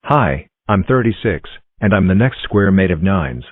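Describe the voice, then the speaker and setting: Friendly little counting-block cartoon figures played by a man chirp through self-introductions in a low voice. The sound is thin and phone-like, dry and close. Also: big pitch swings, moderate pace, no echo. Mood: chatty. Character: cheerful talking number block characters. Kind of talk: fan-made cartoon voicing. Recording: tinny phone-like dry recording.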